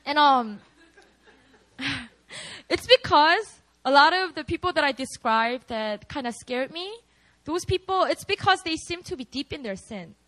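A woman's voice: high-pitched, lively intonation, a little slow, pushed and bright. A young woman with a Korean accent preaching at a prayer meeting, candid and chatty, often laughing at herself.

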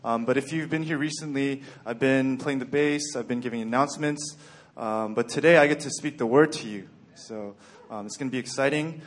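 A young man from Texas giving a sermon in a calm, even-keeled way with frequent ums and okays. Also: mid-range voice, a little fast. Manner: even-keeled, plain-spoken, frequent "um" and "okay". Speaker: church speaker.

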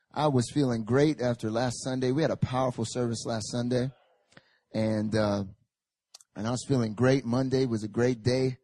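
A young male pastor with a hoarse, raspy voice from a recent chest infection, joking casually with the congregation and then reading Scripture and praying with rising fervor in a church service. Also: medium pitch, warm tone, no room sound. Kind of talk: sermon.

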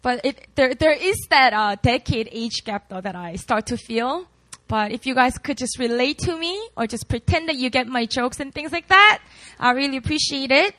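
A young woman pastor with a Korean accent, her voice high and bright. She jokes about herself and laughs easily before moving into scripture, and the sound is close and dry. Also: lively intonation, moderate pace, no room sound. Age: late 20s. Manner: chatty, self-deprecating, laughs often. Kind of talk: sermon with scripture reading.

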